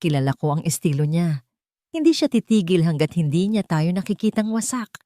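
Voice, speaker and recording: A woman's voice: lowish voice, lively intonation, fairly quick, dark tone, no echo, clean studio sound, close to an everyday voice. A woman narrator voicing an angry, guilt-ridden business tycoon man in an audio drama, with a commanding, urgent manner. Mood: angry, guilty.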